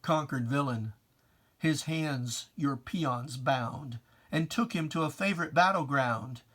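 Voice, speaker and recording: A person giving a dramatic reading of religious verse in a theatrical, deliberate, taunting manner with pointed pauses. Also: mid-range voice, fairly bright tone. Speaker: poetry reader.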